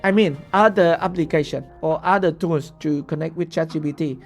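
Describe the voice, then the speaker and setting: A young man whose first language is Vietnamese, giving a step-by-step screen-share software tutorial and checking in with frequent "okay?" asides. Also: a little high, normal speed, soft background music. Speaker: tutorial host.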